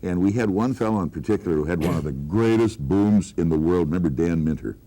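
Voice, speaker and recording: Older male radio veteran, low voice, easy conversational reminiscence. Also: lively intonation, fast talker, dark tone. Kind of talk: casual reminiscing among former colleagues.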